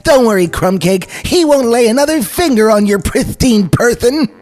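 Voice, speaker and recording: A man's voice: very high-pitched, lively intonation, moderate pace, a little mellow, soft background music, voice pushed up. A man voicing a frantic, bombastic cartoon rabbit hero with melodramatic delivery in a comedic table read. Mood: gallant. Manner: theatrical, melodramatic outbursts.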